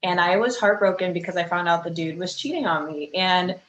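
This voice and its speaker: Low-voiced woman in an echoey room. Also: a little fast, dull audio. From Chicago, United States. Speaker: fitness coach, interview guest. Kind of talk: casual interview chat. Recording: echoey room with faint background noise.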